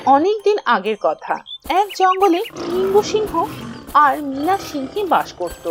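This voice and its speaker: A woman narrating a cartoon story in an expressive, storybook manner. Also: high voice, measured pace, music in the background.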